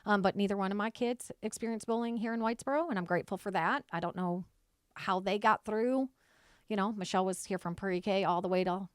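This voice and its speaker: A woman's voice: mid-range voice, a little fast, clear, resonant tone, no echo, studio quality. A woman in her forties from Michigan, earnestly answering interview questions and often agreeing with "right.". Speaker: school board candidate.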